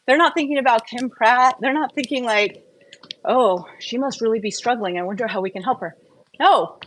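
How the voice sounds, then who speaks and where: A woman's voice: a little high, noisy background. A woman chatting casually and candidly in a personal vlog, opinionated and prone to rambling off on tangents. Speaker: wellness vlogger.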